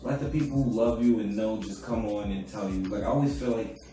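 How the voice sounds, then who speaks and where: A man, co-hosting a podcast in a casual, rambling chat at home, keeping his voice low. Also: brisk, dark tone. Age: adult with children. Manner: laid-back, rambling, talks low so as not to wake his sleeping son. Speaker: podcast co-host. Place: room at home. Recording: echoey room, distant mic, music.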